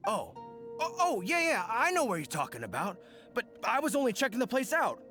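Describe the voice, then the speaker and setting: A man's voice: very high-pitched, huge pitch swings, a little fast, sharp tone, exaggerated voice. A man voicing a dim but eager young apprentice thief in a video game, stammering and folksy. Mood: defensive. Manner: hesitant, stammering, folksy slangy speech. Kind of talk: English video game voice acting. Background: game music.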